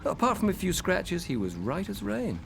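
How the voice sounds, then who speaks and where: A man's voice: high-pitched, wildly swooping pitch, normal speed, gravelly, over music, exaggerated voice. An actor playing a brave, self-deprecating young wartime man with dry understatement in a radio drama. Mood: shaken.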